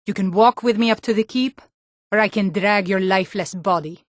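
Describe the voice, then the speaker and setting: An actress playing a hardy Nordic woman of a fantasy realm in a video game, speaking in a firm, earnest, deliberate way. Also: medium pitch, dry recording, clean studio sound, close to an everyday voice. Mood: stern, commanding. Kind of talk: scripted fantasy video game character dialogue.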